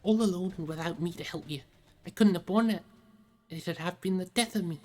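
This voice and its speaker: Loyal hobbit manservant as put on by a book reader: high, rustic, anxious, earnest, music behind. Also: brisk, warm tone, lightly acted. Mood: hurt, earnest. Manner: rustic, pleading, earnest speech.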